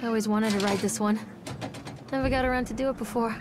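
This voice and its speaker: A woman's voice: on the high side, steady tone, fast, background noise, close to an everyday voice. An actress playing a bitter, unravelling young mother searching for her lost son in a horror game, speaking in a confessional way. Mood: wistful. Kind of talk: first-person horror game monologue and dramatic acting.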